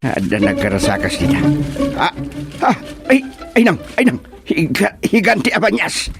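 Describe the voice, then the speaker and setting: A man with an Ilocano accent playing an excitable, bewildered country fellow in a radio comedy drama. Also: on the high side, normal speed, creaky, soft background music, heavily acted. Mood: frightened. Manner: exclamatory, theatrical.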